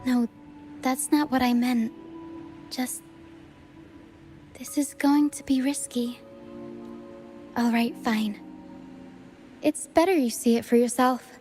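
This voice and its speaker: A woman voicing a playful, earnest young female troupe member in a video game, speaking softly with theatrical touches. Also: sits high, slow, long pauses. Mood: worried, resolute. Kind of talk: video game dialogue acting. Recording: game music behind the voice.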